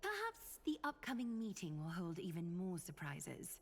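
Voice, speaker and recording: A woman's voice: on the low side, huge pitch swings, normal speed, full character voice. A woman voicing an earnest, solemn game character who explains prophecies.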